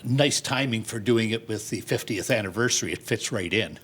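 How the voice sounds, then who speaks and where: Older male interviewee, community theater director type, husky edge, easygoing good humor, conversational delivery. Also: fairly low pitch, moderate pace, fairly bright tone.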